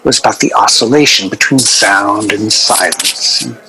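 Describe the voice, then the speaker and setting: An actor playing a rambling, self-absorbed professor in a radio drama interview, hesitant and fussy, drifting into digressions. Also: male voice, on the low side, moderate pace, pushed and bright, soft background music, poor audio. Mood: defensive, pedantic. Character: rambling, self-absorbed male academic record-label curator.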